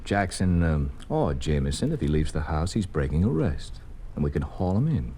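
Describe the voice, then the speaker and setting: An actor playing a brutish, scornful communist police henchman with rough, slangy tough talk in a 1950s radio drama. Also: rumbling low, big pitch swings, normal speed, warm tone, slight background noise, full character voice. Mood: suspicious, sly. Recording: old radio broadcast recording with music.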